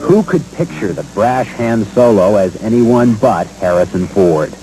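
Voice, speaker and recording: A man narrating a scripted feature as a reporter, polished and measured. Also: on the low side, expressive, over music, poor audio.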